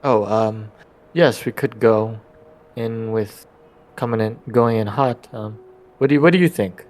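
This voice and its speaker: A man playing a tabletop role-playing game, improvising in-character dialogue in a halting, hesitant way with trailing phrases. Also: fairly low pitch, a little mellow.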